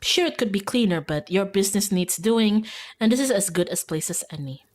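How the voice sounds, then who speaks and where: A woman's voice: medium pitch, expressive, fairly quick, darkish tone, a little hiss or hum, lightly acted. A woman reading as a wry, dramatic second-person narrator of a visual novel. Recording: background music.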